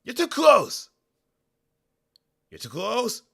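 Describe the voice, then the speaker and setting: A man voicing an indignant fighting game character, barking short warnings loudly and forcefully. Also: very high voice, huge pitch swings, drawn-out words, gravelly, breathy edge, pitched above the performer's own voice, heavily acted. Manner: loud, forceful shouted barks. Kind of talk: video game battle voice lines.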